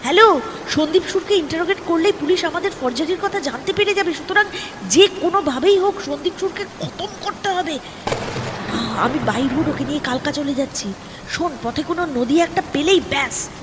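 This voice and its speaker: Woman acting scheming young female executive, high reverberant voice. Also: brisk, background noise. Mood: cold, commanding. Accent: Bengali. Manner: urgent when plotting, coy and breathy when flattering. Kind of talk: Bengali audio drama acting. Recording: long reverb, clear music behind. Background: background music.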